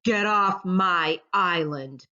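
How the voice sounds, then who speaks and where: Woman playing a loud, bossy bully crab. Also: fairly low pitch, drawn-out words, lo-fi sound, exaggerated voice. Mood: bullying, angry. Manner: bellowing, yelling, commanding.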